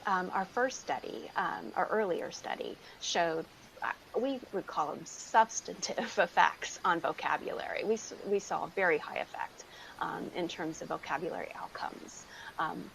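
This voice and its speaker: A woman's voice: fairly low pitch, gravelly, a little hiss or hum, rough recording. A woman researcher explaining findings as an interview guest, repeating phrases for emphasis.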